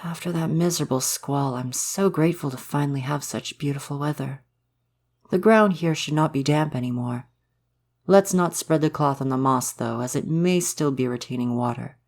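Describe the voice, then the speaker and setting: A man acts out a male forest spirit in a soft romantic ASMR audio drama. He is gentle and curious about humans. His phrasing is formal, polite and old-fashioned, like that of a courtly woodland being. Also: on the high side, moderate pace, close to an everyday voice. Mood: warm, polite.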